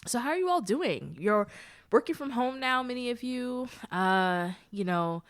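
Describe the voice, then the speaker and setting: A woman's voice: a little high, animated, measured pace, clean tone. A woman hosting her own podcast in a casual solo chat, friendly and talkative, sounding nasal and congested from allergies, with frequent laughs and sisterly asides. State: congested, blocked sinuses. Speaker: podcast host.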